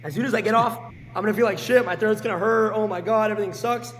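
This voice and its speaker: A man in his late teens with a hoarse, sick voice, talking bluntly and energetically as a podcast guest. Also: high voice, fast, long reverb tail, a little hiss or hum. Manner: energetic and blunt, swears freely. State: hoarse, sick with a sore throat. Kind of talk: casual podcast chat.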